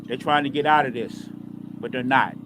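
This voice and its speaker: A man's voice: mid-range voice, fairly even intonation, normal speed, noisy background. A middle-aged man making a plain-spoken public statement outdoors, as a bereaved father.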